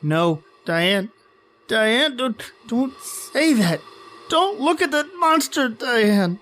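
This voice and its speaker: A woman acting a frightened, protective character in old-time radio horror style, halting and stammering while pleading in horror. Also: a little high, very animated, fairly bright tone, slight background noise, full character voice. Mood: desperate, pleading. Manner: halting, stammering, repeats words.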